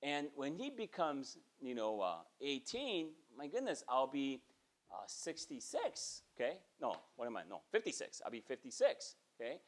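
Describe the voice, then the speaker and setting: A man's voice: mid-range voice, normal speed. A man in his forties preaching a sermon in a small church, talking conversationally and often checking in with "okay?". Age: 40s. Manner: conversational preaching, frequent "okay?" check-ins, animated gestures. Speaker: pastor. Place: small church sanctuary, indoors.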